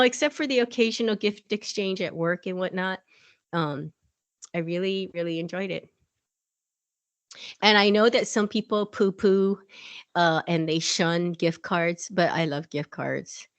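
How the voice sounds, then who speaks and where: A woman's voice: fairly low pitch, no echo. A woman co-hosting a podcast in casual chat, rambling and laughing often as she tells stories. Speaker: podcast co-host.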